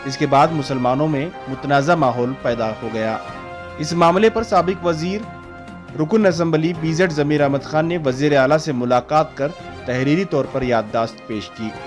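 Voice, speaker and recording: A man's voice: a little high, moderate pace. A male news anchor reading a formal, scripted news voice-over. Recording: voice-over with music bed.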